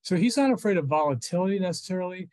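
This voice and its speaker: A man's voice: sits high, expressive, rapid-fire, a little mellow. An American man in his fifties giving informal, explanatory commentary as a financial expert on a video call from home. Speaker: financial journalist interviewed as expert. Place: small furnished room at home.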